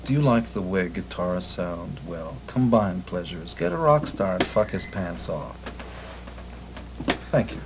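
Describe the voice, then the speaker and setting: A man being interviewed as a musician, delivering jokes deadpan. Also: sits low, unhurried, noisy background. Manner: deadpan joking.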